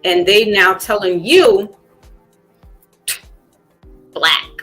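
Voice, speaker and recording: A woman's voice: mid-range voice, animated, very slow, fairly bright tone, soft background music. A woman hosting a podcast speaks in casual conversation, reflective and full of fillers, then grows emphatic. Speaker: podcast host.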